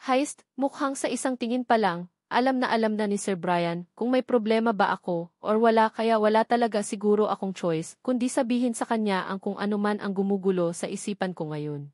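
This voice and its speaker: A woman's voice: mid-range voice, a little fast, darkish tone, no room sound. Female audiobook narrator, Filipino accent, scripted first-person romance storytelling, very dry recording.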